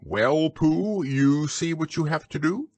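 A man voicing a bossy, scheming male rabbit from a storybook, brisk and instructing in manner. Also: fairly high pitch, dry recording, voice pushed up.

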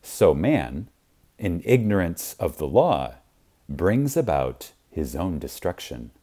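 A man narrating an audiobook in a reverent, emphatic manner with deliberate, dramatic pauses. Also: low voice, very animated, mellow.

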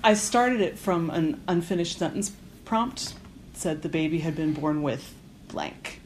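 A woman interviewing casually as a fellow writer, talking in a halting way with soft asides. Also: low voice, lively intonation, rough recording. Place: hotel. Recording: background room noise.

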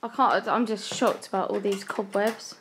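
A woman's voice: mid-range voice, steady tone, moderate pace, fairly bright tone. Young woman, nervous whispery asides, phone mic, faint hiss. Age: young adult. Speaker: urban explorer vlogger. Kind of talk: casual live-stream chat. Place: abandoned house indoors. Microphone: phone held at arm's length.

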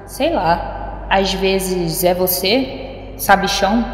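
A woman's voice: mid-range voice, very slow, a little hiss or hum. A woman playing a defiant, sarcastic female hacker in a science-fiction audio drama, with a slangy, mocking delivery. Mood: taunting. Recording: echoey, long reverb, faint music.

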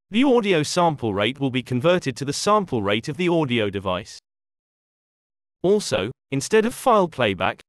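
A man reading a scripted software tutorial in a measured, instructional way. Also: medium pitch, dry recording, studio quality. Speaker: tutorial narrator.

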